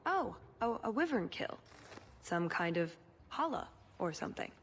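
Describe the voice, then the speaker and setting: An actress playing a brash, playful huntress in a fantasy game, blunt and cheeky in manner. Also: female voice, mid-range voice, very animated, measured pace, a little hiss or hum. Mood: casual, blunt. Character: brash, playful female huntress, eager adventurer.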